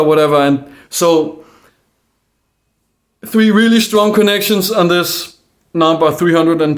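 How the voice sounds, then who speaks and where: Man with a Danish accent, slightly high voice, unscripted to camera, stumbling and restarting but calm. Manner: hesitant, many fillers and restarts; calm, earnest. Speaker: independent video maker. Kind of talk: casual monologue to camera.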